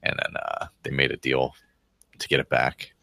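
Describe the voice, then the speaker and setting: A man's voice: rumbling low, completely flat delivery, moderate pace, gravelly, sharp tone, no echo. A man chatting casually as a podcast panelist, explaining things in a rambling, offhand way. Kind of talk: casual chat.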